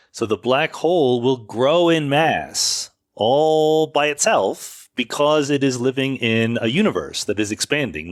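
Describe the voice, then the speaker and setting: A man's voice: mid-range voice, no room sound, clean studio sound. A man hosting a podcast, explaining things in an informal, conversational monologue and correcting himself as he goes. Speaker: podcast host. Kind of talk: informal explanatory monologue answering listener questions. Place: makeshift recording setup away from home studio.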